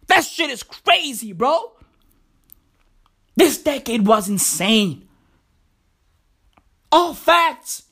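A woman's voice: sits high, fairly bright tone, slightly rough, full character voice. A woman doing a brash slangy bro nearing thirty. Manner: brash, swears often, repeats slang like bruv and legit. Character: brash young man nearing thirty, cocky slang-talker. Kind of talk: comic character monologue.

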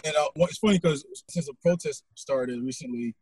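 Forties male podcast host from Haiti; quick, husky, easygoing talker. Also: a little high, dry recording, poor audio. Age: 40s. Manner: fast, relaxed, conversational with fillers. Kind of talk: casual interview chat.

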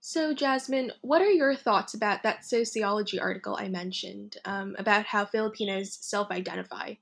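A woman interviewer asking careful, measured questions. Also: medium pitch, vocal fry, a bit bright, slightly rough.

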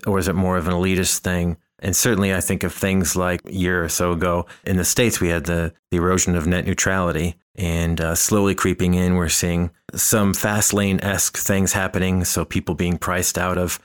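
A man with a deep, smooth voice hosts a casual podcast conversation. He muses at length, restarting phrases as he works toward his point. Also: little pitch movement, normal speed, hardly any pauses. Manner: thoughtful, rambling, often restarts phrases. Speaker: podcast host.

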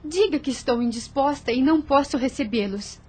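A young farm heiress. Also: female voice, sits high, brisk, darkish tone, slightly rough. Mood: composed. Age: young adult. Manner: composed and formal, curt when displeased. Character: Maria, young woman heir of a farm, gentle but firm. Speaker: actress. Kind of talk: radio drama acting.

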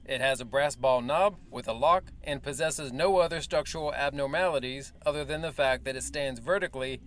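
An actor playing a male military major who briefs the press in a formal, measured and evasive way. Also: medium pitch, fairly bright tone, soft background music, lightly acted. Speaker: official spokesman. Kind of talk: audio drama acting.

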